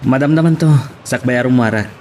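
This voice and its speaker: A man with an Ilocano accent acting a troubled, principled young gentleman in a radio drama. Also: medium pitch, quick pace, slight background noise, natural voice. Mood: reserved.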